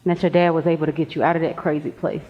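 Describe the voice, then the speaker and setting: A woman's voice: sits low, steady tone, racing. A woman playing a possessive, street-talking teenage best friend in a stage play. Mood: downcast, searching. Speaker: stage actor. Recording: dull lo-fi stage recording.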